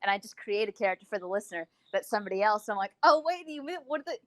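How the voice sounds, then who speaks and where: Woman, quick rambling delivery, gushing fan talk, casual podcast co-host, dry close sound. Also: medium pitch, lively intonation, dry recording. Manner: gushing, rambling, frequent use of "like". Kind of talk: casual fan interview chat.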